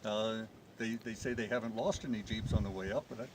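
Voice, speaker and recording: A man's voice: fairly low pitch, fairly even intonation, fairly quick. A middle-aged man from the United States, a tourist guest describing his trip with enthusiasm, recorded outdoors in the mountains. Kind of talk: outdoor interview. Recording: noisy outdoor ambience.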